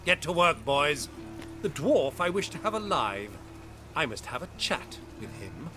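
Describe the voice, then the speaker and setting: An actor playing a smug, menacing crime boss in a video game, with mock courtesy and sardonic, formal phrasing. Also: male voice, fairly high pitch, very animated, unhurried, pushed and bright, soft background music, full character voice. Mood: smug menace. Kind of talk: video game villain acting.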